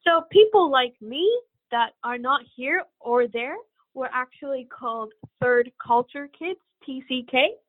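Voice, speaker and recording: Bangladeshi-born woman guest, bit high voice, thoughtful and earnest, sounds like a phone call. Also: a little slow. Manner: earnest, reflective, thinks aloud. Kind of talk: casual interview answers. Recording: phone-like narrow line.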